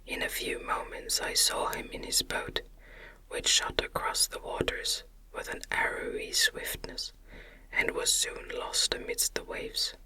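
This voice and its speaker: A woman reads a gothic audiobook in a breathy ASMR whisper. Her delivery is very slow, and the whisper has a sharp, bright edge. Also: very high voice, poor audio. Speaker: ASMR narrator.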